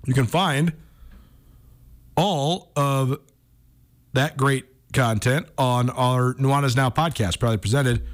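Conversational American male sports radio host. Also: mid-range voice. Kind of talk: casual sports talk radio commentary.

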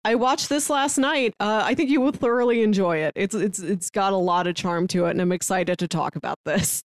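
A woman's voice: mid-range voice, a little fast, no room sound, clean studio sound. A woman co-hosting a podcast, talking in a casual, conversational style. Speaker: podcast co-host.

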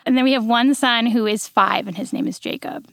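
A woman's voice: a little high, creaky, no room sound. A woman in her thirties, a guest on a podcast, chatting cheerfully and then teaching a devotional in a studio. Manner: bubbly, quick to laugh. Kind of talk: casual chat and devotional teaching.

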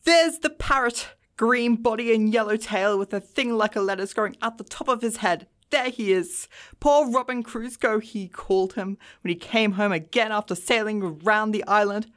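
A woman's voice: medium pitch, moderate pace, natural voice. A woman voicing an emotional old man in a Victorian storybook, exclaiming earnestly between laughter and tears. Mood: excited delight. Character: old man Scrooge, overexcited, tearful, boyishly delighted. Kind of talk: audiobook character voice, Victorian storybook.

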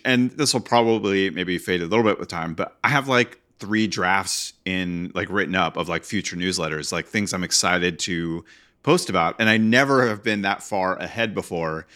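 A man with a very low voice, chatting casually as a podcast co-host. His tone is forceful and bright, and his delivery is enthusiastic and rambling, with plenty of fillers. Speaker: podcast co-host, newsletter writer. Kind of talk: casual chat.

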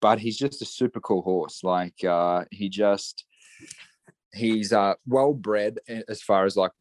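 A man's voice: on the low side, measured pace, a little mellow, no echo. A young Australian man chatting casually on a podcast, telling rambling stories full of restarts and fillers. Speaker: guest horseman.

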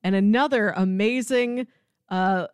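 A woman co-hosting a casual pop culture podcast, talking like an excitable, gushing fan. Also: mid-range voice, unhurried, clear, resonant tone, dry recording, clean studio sound. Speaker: podcast co-host.

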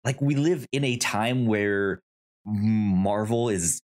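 A podcast co-host chatting casually about reviews, often repeating and restarting words in a halting way. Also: medium pitch, a little mellow.

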